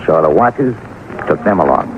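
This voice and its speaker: A man's voice: a bass voice, animated, normal speed. An American man playing a shrewd, persistent police sergeant in an old-time radio detective drama, speaking in a terse, businesslike way. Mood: businesslike, intent. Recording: tinny, noisy old radio recording.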